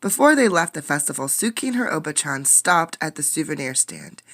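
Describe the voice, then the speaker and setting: A woman's voice: sits low, big pitch swings, a little fast, a bit bright. A woman reading a children's picture book aloud as a teacher, expressively, explaining words and putting questions to the listeners. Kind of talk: interactive children's read-aloud.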